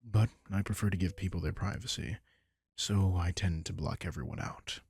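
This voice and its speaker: A man performs a powerful yet gentle vampire king in an intimate comfort roleplay. The voice sits very low, with heavy fry and a husky edge. He speaks in an unhurried, protective way, as if to a single listener. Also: no echo, full character voice. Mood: earnest, confiding. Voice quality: gravelly, husky. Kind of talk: intimate roleplay audio drama, comforting monologue.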